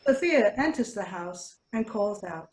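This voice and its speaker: A woman presenting a show, reading a scripted introduction in a measured, announcing manner. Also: mid-range voice, expressive, echoey room, low-quality recording.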